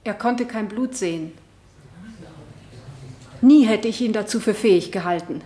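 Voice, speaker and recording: A woman's voice: medium pitch, a little slow, warm tone, close to an everyday voice. A woman playing a shaken wife on the witness stand in a 1970s German radio drama. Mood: strained, subdued. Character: shaken wife testifying at her husband's murder trial. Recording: roomy radio play studio sound.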